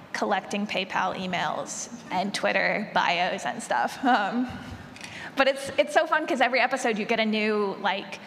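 Higher-pitched woman, casual panelist, echoey hall. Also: fairly bright tone. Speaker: audio drama producer, panelist. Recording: echoey hall, background noise.

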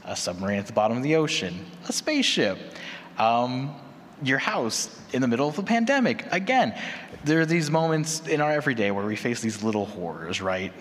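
A man leading a conference presentation, explaining point by point and checking in with "right?" as he goes. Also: on the low side. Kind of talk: semi-prepared conference presentation. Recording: reverberant hall, clear background noise.

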